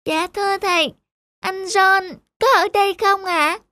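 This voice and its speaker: A woman's voice: very high voice, fairly even intonation, unhurried, sharp tone, no echo, full character voice. A woman voicing an anxious, devoted little sister in a children's cartoon dub, with a fretful, pleading manner. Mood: worried.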